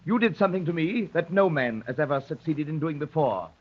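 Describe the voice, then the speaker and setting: A man's voice: a little high, expressive, fairly quick, slight background noise, natural voice. A man playing a proud, intense anarchist poet, speaking with formal, declamatory self-importance in the manner of 1930s radio drama. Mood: affronted, solemn. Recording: muffled, worn 1930s radio recording.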